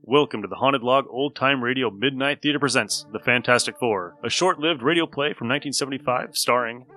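A man's voice: mid-range voice, fairly quick, pushed and bright. A man hosting a podcast, giving a casual, folksy, chatty introduction. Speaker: podcast host.

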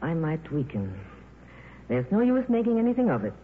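A worldly female film star, wry and breezy but soft at heart, her intonation rising and falling widely. The 1950s radio drama recording is thin and narrow, with faint noise. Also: deep voice, wildly swooping pitch, moderate pace. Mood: wistful. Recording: tinny, noisy old radio broadcast.

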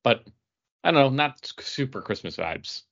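A man's voice: medium pitch, sing-song, moderate pace, creaky, a bit bright, lo-fi sound. A man co-hosting a podcast in casual chat, rambling through tentative asides. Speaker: podcast co-host.

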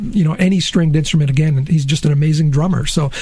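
Slightly raspy man, mellow voice. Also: a little high, steady tone, quick pace, no echo. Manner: hesitant, many uh fillers and restarts. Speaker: teacher at an arts-focused high school. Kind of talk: interview guest.

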